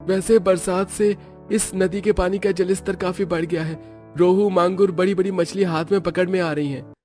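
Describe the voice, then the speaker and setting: A man's voice: sits high, steady tone, normal speed, a little mellow, soft background music, close to an everyday voice. A man voicing an earnest village husband in a cartoon story. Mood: upbeat. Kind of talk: Hindi cartoon story dubbing.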